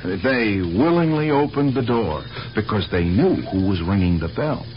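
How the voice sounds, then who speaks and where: Man acting a deep, animated, probing detective. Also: faint music behind, natural voice. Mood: methodical. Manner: questioning, deliberate, animated. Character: probing, methodical male police detective, patient but insistent. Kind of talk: 1970s radio drama acting. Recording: muffled, noisy old radio recording.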